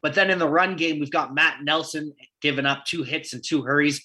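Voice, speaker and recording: Husky bright-voiced Canadian man. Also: on the high side, fairly even intonation. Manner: stat-heavy, questioning, quick asides. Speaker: podcast co-host. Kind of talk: casual sports analysis chat.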